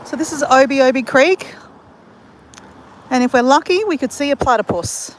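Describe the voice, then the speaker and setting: A woman's voice: high voice, lively intonation, normal speed, slight background noise, rough recording. An Australian woman chatting to her viewers while she walks around outdoors in a country town and along a creek. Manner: chatty, talks straight to viewers as "guys". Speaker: vlogger. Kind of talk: casual walking vlog.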